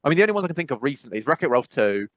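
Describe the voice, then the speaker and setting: A man with an English accent co-hosting a podcast, rambling and animated, with emphatic repetitions. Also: a little high, rapid-fire, dry recording, dull audio. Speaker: podcast co-host. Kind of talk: casual chat.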